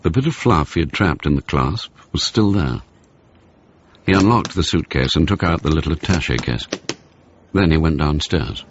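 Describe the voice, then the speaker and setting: An actor playing the measured narrator of a radio spy thriller, with a husky voice. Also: male voice, a bass voice, animated, lo-fi sound, exaggerated voice. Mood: measured, matter-of-fact. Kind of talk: scripted narration in radio drama.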